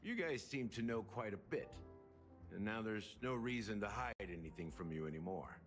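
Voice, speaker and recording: An actor playing an aging, wry bartender detective in a video game, speaking theatrically like an unhurried storyteller. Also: male voice, fairly low pitch, expressive, close to an everyday voice. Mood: grave, wry. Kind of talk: scripted video game dialogue. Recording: game music behind the voice.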